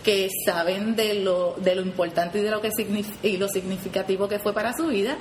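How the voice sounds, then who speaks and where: A woman's voice: medium pitch, fairly even intonation, a little fast, echoey room, a little hiss or hum. A Puerto Rican woman who leads a youth program, explaining it earnestly in an interview and often adding "¿verdad?" as she goes. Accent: Puerto Rican Spanish. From Puerto Rico. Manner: earnest, frequent "¿verdad?" tags and restarts. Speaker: 4-H program leader, guest.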